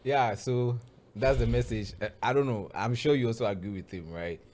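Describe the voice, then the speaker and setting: A man's voice: mid-range voice, moderate pace. A Ghanaian man hosts in loose, casual commentary. He rambles a little and leans on fillers like "you know" and "so, yeah.". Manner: relaxed, rambling with repeated fillers. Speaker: show host.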